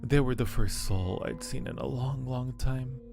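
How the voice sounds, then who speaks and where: A man voicing an ancient female dark spirit in a visual novel, solemn, mournful and devoted, with formal phrasing. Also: medium pitch, measured pace, creaky, over music. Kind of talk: dramatic visual novel reading.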